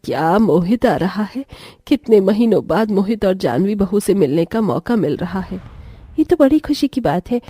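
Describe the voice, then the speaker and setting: A woman playing a gentle, dutiful village mother and daughter-in-law who advises patiently in a story. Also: mid-range voice, big pitch swings, moderate pace, breathy edge, dry recording, studio quality. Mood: eager.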